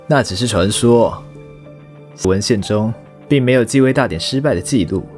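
A man's voice: medium pitch, a little mellow, soft background music, natural voice. A man playing a thoughtful, courteous university professor in an audio drama, pondering as he explains. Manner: measured, scholarly, pondering.

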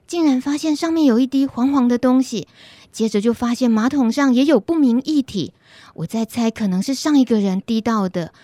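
A woman's voice: a little high, warm tone, no room sound, close to an everyday voice. A woman voicing a worried young shaved-ice seller in a radio skit, with a Taiwanese Mandarin accent, confiding anxiously. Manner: anxious, confiding first-person storytelling.

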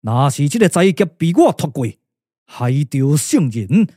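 A man voicing a grave older lord and strategist in a puppet radio drama, speaking slowly and with authority. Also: a little high, big pitch swings, mellow, slightly rough, no room sound, clean studio sound, voice pushed down, exaggerated voice. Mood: brooding, resolute. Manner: slow, deliberate, authoritative.

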